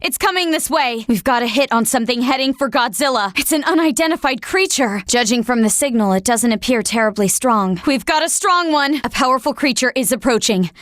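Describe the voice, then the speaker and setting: Anxious high-voiced military operator woman. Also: lively intonation, a little fast, few pauses, fairly bright tone, no echo, studio quality. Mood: tense, reporting. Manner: clipped, dramatic reporting. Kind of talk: video game voice acting, urgent radio-briefing dialogue.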